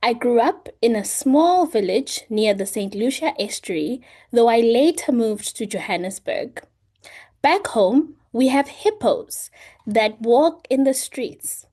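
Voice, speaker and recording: A woman from South Africa with a South African accent, a native Zulu speaker, giving a deliberate prepared self-introduction as a scholarship student. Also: fairly high pitch, expressive, dark tone. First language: isiZulu.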